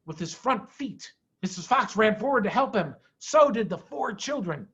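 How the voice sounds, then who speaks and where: A man reading a children's story aloud with dramatic, expressive pauses and emphasis. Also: high voice, husky, muffled recording. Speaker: storyteller, head of school.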